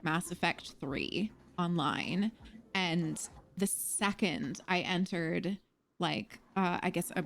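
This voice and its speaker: A woman's voice: fairly low pitch, fairly bright tone, slight background noise. Chatty young woman livestream host. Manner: chatty, filler-heavy, laughs easily. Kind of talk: casual livestream chat.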